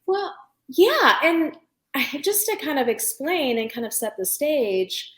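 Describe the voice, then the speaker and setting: A middle-aged woman, raised in the United States, with a light Indian accent, telling stories as an interview guest in a lively, chatty way. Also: sits high, slow pace. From India, raised in Pennsylvania. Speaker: guest, food blogger and cookbook author. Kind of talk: conversational interview storytelling. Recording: roomy echo.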